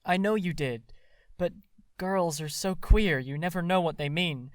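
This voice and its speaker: An actor playing an ardent, boyish young man in love in an audio drama, earnest and halting. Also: a little high, clean tone, no echo, studio quality, close to an everyday voice. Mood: hurt, frustrated.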